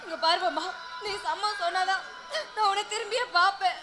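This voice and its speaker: A woman's voice: extremely high pitch, fairly even intonation, a little fast, over music. A woman playing a distraught, self-sacrificing and stubborn wife in an emotional, pleading melodrama. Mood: stubborn, sulking. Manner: emotional, pleading, often tearful. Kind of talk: melodramatic Tamil drama acting.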